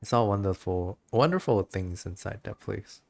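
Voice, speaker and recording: A man livestreaming a game, reacting casually and excitably and sometimes reading the text aloud. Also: fairly low pitch, moderate pace, creaky. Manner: excitable, giggly, stumbles when reading. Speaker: game streamer.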